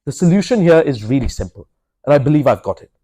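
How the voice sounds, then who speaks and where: A man's voice: on the high side, sing-song, fast talker, warm tone, dry recording, clean studio sound. A young man, a third-year student, giving a blunt, confident campaign speech. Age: young adult. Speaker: election candidate.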